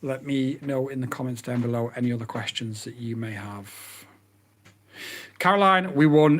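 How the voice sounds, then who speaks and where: Croaky man chatting to viewers. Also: medium pitch. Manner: excitable, chatty, talks to viewers. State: croaky, hoarse voice. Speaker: livestream host. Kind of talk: casual livestream chat.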